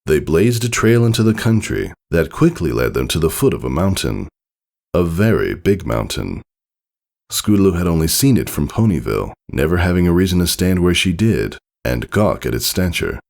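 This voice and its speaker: A man's voice: sits low, sing-song, normal speed, gravelly, a little mellow. A man reading scripted storybook narration as the narrator of a piece of fiction.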